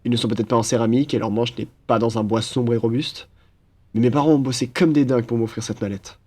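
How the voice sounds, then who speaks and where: A man's voice: mid-range voice, steady tone, quick pace, a little mellow, close to an everyday voice. Man acting as young male roommate, cheerful, bantering, chatty, mid-range voice, casual audio roleplay. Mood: friendly, joking. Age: young adult. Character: cheerful, teasing young male student roommate, aspiring chef. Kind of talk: ASMR audio roleplay, casual one-sided chat.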